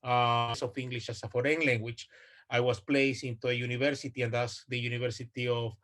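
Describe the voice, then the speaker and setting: A man's voice: mid-range voice, flat delivery, a little fast, a bit bright. Guy in his 40s giving a research talk on a video call, lots of uhs and restarts. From Ecuador. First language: Spanish. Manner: hesitant, many fillers and restarts. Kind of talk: online presentation.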